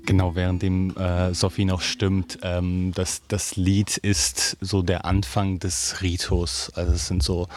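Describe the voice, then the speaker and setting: Low, flat-toned man explaining. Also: measured pace, hardly any pauses, soft background music. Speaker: interview guest, theatre maker. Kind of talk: casual explanation in interview.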